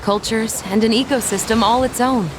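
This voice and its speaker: A woman voicing a calm female guide from another realm in a video game, explaining her world. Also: on the high side, normal speed, background noise, close to an everyday voice. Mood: calm, explanatory.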